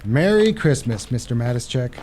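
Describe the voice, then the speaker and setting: A man's voice: mid-range voice, sing-song, moderate pace, darkish tone, slight background noise, lightly acted. A man playing an easygoing, friendly neighborhood policeman in a radio play. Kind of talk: old-time radio theatre acting.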